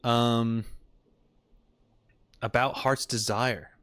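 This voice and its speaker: A man doing casual live reaction commentary as a streamer, thinking aloud in an expressive way. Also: mid-range voice, drawn-out words, clear, resonant tone, no echo.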